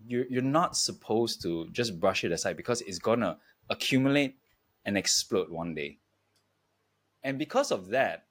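A man with a fairly low voice talks reflectively as a podcast guest. He moves forward in short, halting phrases, pausing as he puts his thoughts together. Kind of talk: reflective interview talk.

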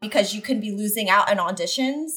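A woman chatting casually about acting, telling a story in an animated way and quickly backing up her co-host. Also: medium pitch. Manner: animated, quick agreeing interjections.

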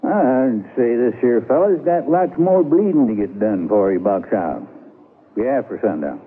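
Swooping, folksy frontier saloon regular. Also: male voice, medium pitch, animated, normal speed, breathy edge. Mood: wry. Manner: rustic, colloquial. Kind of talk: old-time radio western acting. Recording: tinny, narrow-band radio broadcast.

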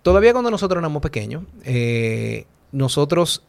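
A man's voice: fairly high pitch, sing-song, normal speed, creaky. Dominican man thinking aloud, full of fillers. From Dominican Republic. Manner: talks things through with many fillers and "¿tú entiendes?" asides. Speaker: guest. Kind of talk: casual philosophical conversation.

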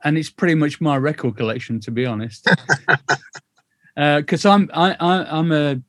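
A man's voice: on the high side, dry recording. An older man in his sixties with a Northern English accent, hosting a chatty, rambling interview over a video call. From England. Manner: chatty, rambling, quick to laugh.

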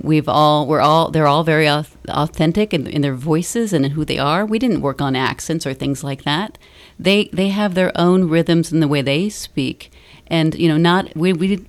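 Middle-aged female interview guest, deep register, sincere conversational delivery that reworks its phrasing. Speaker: stage play director and university teacher, interview guest. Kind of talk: conversational radio interview talk. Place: radio studio.

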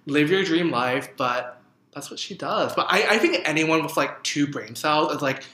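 A young man in his twenties co-hosting a casual TV recap chat, animated and exaggerated, saying "like" constantly. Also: on the high side, normal speed. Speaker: co-host. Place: room in Canada. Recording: roomy echo.

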